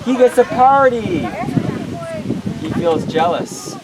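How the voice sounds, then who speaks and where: A woman's voice: a little high, expressive, slow pace. A woman leading a children's lesson outdoors in simple questions and answers, repeating and affirming what they say. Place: outdoors at a public children's event. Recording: loud outdoor crowd and traffic din. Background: crowd chatter and vehicle noise.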